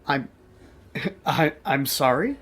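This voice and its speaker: An actor playing a prim, law-abiding, wary bookstore clerk in an audio drama, formal and officious. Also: male voice, a little high, expressive, extremely slow, fairly bright tone, husky, exaggerated voice. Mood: polite, wary.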